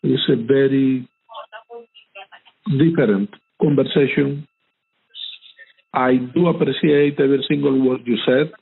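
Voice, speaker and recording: A man's voice: medium pitch, fairly even intonation, measured pace, vocal fry, pauses often, husky. A middle-aged man talking sincerely and casually, with an emphatic way of repeating himself. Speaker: cybersecurity conference speaker being interviewed. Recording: tinny phone-like line.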